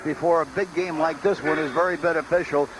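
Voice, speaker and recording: A man's voice: a little high, steady tone, brisk, noisy background. A man giving unscripted pregame sports commentary on the radio. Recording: radio broadcast with loud background music.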